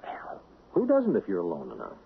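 A man's voice: low-pitched, wildly swooping pitch, fairly quick, vocal fry, exaggerated voice. An actor playing a sceptical, casual man in a conversational radio drama. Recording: thin phone-like 1970s radio, faint noise.

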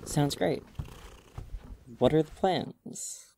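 A cheeky young man in his early twenties, as an actor plays him, with a mellow voice at a middling pitch. He banters in a playful, friendly way in an audio drama, over slight background noise. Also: very slow, creaky. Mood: curious. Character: cheeky, friendly 22-year-old man, Bailey. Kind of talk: casual audio drama dialogue.

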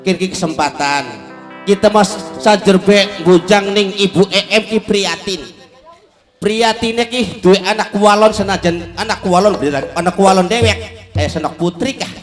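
High-voiced comedian man on stage. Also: a little slow. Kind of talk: live comic stage banter with bits of singing. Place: outdoor village party stage. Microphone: handheld microphone. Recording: live stage PA with music behind.

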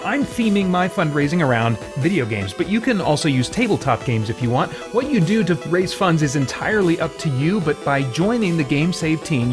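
Male host, thirties, scripted promo read, slightly high pitch, clear music bed. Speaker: host, fundraiser organizer.

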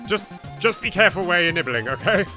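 A man's voice: high voice, lively intonation, fast, sharp tone. A man with an English accent hosting a let's play, wry and playful. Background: game music.

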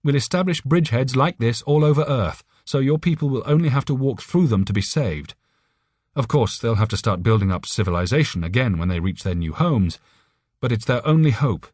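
A man's voice: medium pitch, animated, fairly quick, darkish tone, no room sound, clean studio sound, pitched above the performer's own voice, lightly acted. A man voicing an alien telepathic voice for an audiobook, urgent, friendly and earnest, with careful phrasing. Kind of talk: audiobook character voice.

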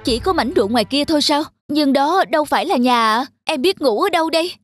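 Meek young villager, high voice, deferential, earnest, folk-tale cartoon, music behind. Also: female voice, animated, brisk, no room sound, clean studio sound. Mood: meek, dismayed. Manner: polite, deferential. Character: meek, honest young peasant man, hard-working. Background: background music.

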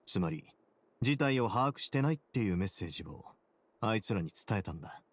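A man playing a composed, shrewd prison duke in an anime game, explaining things in a calm, measured, confident way. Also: fairly low pitch, husky, dry recording.

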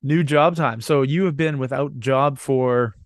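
A man's voice: mid-range voice, unhurried, no echo, clean studio sound. A man hosting a podcast, chatting casually in a joking, rambling way and swearing freely. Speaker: podcast host. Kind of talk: casual, bantering podcast chat.

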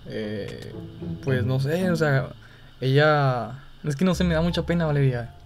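A young man with a Mexican accent talking casually and haltingly on a phone call, sounding embarrassed. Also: medium pitch, vocal fry. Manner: hesitant, halting, feigning embarrassment. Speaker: gaming video creator making a prank call. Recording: music playing behind the voice. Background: music.

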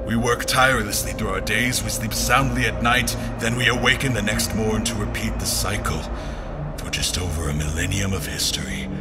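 A man acting a slow, low-voiced, haunted dreamer. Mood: troubled, awed. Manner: halting, brooding, theatrical, often breathy near-whisper. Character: tormented man trapped in a dream realm, bewildered, dread-filled. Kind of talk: dramatic first-person audio drama narration.

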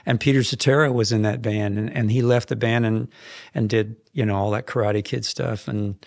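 A man in his fifties, a songwriter guest in a podcast studio, telling stories in a rambling, animated way with frequent restarts. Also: lowish voice, normal speed, vocal fry, no room sound. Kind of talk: casual interview storytelling. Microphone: headphones and boom mic.

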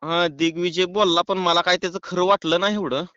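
A muffled, dry phone recording of a man with a rural western Maharashtra accent. His voice is fairly high and clear, and he talks casually with halting, hesitant phrasing. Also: fairly even intonation, pushed and bright, no room sound. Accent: rural western Maharashtra Marathi. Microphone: phone call.

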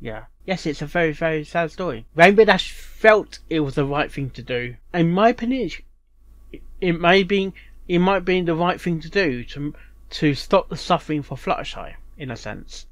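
A man hosting a reaction video in casual, rambling commentary, haltingly repeating and restarting his phrases. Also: fairly high pitch, lively intonation, dry recording. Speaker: reaction channel host.

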